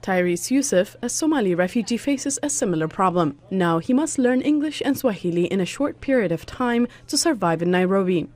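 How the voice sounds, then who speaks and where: A woman's voice: mid-range voice, lively intonation, brisk, few pauses, a little mellow. A woman narrating a scripted news report.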